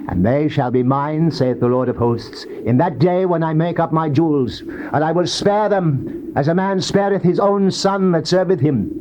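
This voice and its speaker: An actor playing a stern God of Israel speaking judgment, in a solemn, declamatory dramatized scripture reading. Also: male voice, fairly high pitch, moderate pace, natural voice. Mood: fiery, foreboding. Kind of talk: dramatized scripture reading, classical stage delivery. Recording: muffled, noisy old cassette tape.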